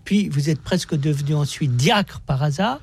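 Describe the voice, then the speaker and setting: A man hosting a radio programme, speaking in deliberate, emphatic and articulate phrases. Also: a little high, creaky, darkish tone, husky. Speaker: radio host. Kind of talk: radio monologue and interview.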